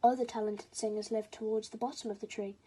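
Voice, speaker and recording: A woman's voice: mid-range voice, fairly even intonation, fast talker, dark tone, slight background noise. A woman reading a children's story aloud as scripted storybook narration.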